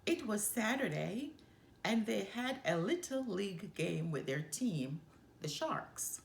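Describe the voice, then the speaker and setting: Lady reading kids book out loud, slow, bit creaky, echoey room w faint noise. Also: mid-range voice. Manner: expressive, measured storytelling. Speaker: storyteller. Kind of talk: children's picture book read-aloud.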